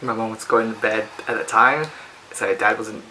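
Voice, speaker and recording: Young Australian male vlogger, bright forceful voice, halting personal delivery, faint hiss. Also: on the low side, level tone, rough recording. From Australia (Adelaide). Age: young adult. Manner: hesitant, halting, sighing. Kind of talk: personal vlog, reflective casual talk.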